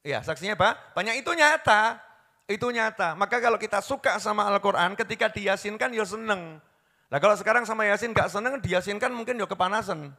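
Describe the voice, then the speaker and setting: A man delivers a sermon in a high, bright, forceful voice. He drops to a hushed storytelling tone, then rises into loud, impassioned pleading. A long reverb from a large hall trails each phrase. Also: a little fast. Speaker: preacher.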